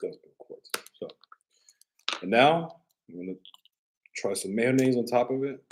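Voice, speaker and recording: A man chatting casually as a livestream host, halting and offhand, often repeating his phrases. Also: mid-range voice, lively intonation, a little hiss or hum. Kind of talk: casual rambling livestream chat.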